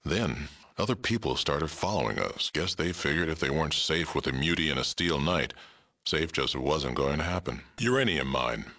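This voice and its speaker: An aging mutant sheriff from a video game, speaks in an extraordinarily deep register. The voice is thick with gravel and has a hard, forceful edge. He is blunt and dry, a world-weary lawman who keeps things fair. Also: expressive, moderate pace, exaggerated voice. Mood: reflective, world-weary. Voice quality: gravelly, rumbling. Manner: blunt, terse, dry delivery. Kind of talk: video game character dialogue.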